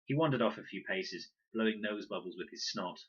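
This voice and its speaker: A man reading a children's audiobook in an expressive, dramatic storytelling manner. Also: lowish voice, fairly quick, husky, lo-fi sound. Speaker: reader.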